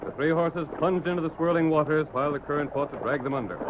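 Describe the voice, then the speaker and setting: Male announcer, dramatic old-time radio narration, fairly high voice, band-limited vintage recording with music. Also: fast talker, dull audio, background noise.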